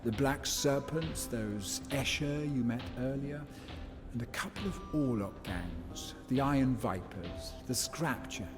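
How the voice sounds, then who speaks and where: An actor playing a shrewd male schemer in a video game cutscene, talking in a measured, wry, insinuating way. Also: medium pitch, over music, close to an everyday voice. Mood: shrewd, explaining. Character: smooth, shrewd male schemer offering bounty deals.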